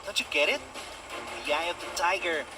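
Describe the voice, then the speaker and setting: A man's voice: a little high, fairly quick, lightly acted. A young man with an American accent playing a cocky, wisecracking amateur superhero in a video game. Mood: joking. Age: young adult. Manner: cocky, joking, foul-mouthed. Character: wisecracking, starry-eyed young male amateur masked superhero. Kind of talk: video game dialogue and first-person narration. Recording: loud music over the voice.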